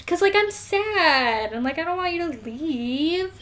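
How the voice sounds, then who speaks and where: A woman's voice: extremely high pitch, lively intonation, a little slow, fairly bright tone. A woman co-hosting a podcast, recapping a story casually in a wry, slangy way and acting out the dialogue. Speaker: podcast co-host.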